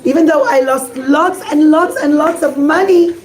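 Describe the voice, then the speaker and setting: A woman's voice: sits high, normal speed, reverberant, close to an everyday voice. A woman voicing a clever, devout male rabbi who puts on theatrical wails. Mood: heartfelt. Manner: theatrical wailing. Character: clever pious rabbi, man, feigning grief.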